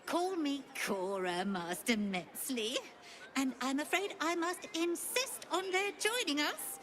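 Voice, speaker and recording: A woman's voice: sits high, expressive, slow pace, fairly bright tone, a little hiss or hum, full character voice. A woman playing a genteel, effusive, insistent society lady in a video game. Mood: gracious. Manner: gracious, effusive. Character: genteel, sociable, insistent lady enjoying wine. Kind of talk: game dialogue acting.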